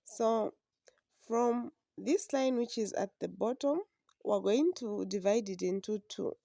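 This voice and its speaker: A woman, hoarse from a flu, patiently explaining a sewing pattern step by step. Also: medium pitch, mellow, no room sound, clean studio sound. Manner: slow, patient instructions. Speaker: sewing instructor. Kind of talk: step-by-step drafting tutorial.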